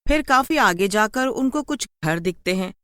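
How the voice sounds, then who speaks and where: Animated woman narrator, dry close sound. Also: medium pitch, moderate pace, no echo. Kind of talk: scripted horror storytelling narration.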